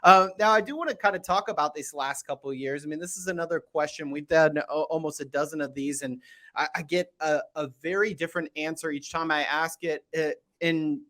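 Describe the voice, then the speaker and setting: An upbeat man hosting a podcast, greeting listeners and interviewing a guest. He talks in a chatty way and often restarts his sentences. His voice sits a little high for a man. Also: fairly even intonation, fairly quick, bright, forceful tone. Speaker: podcast host.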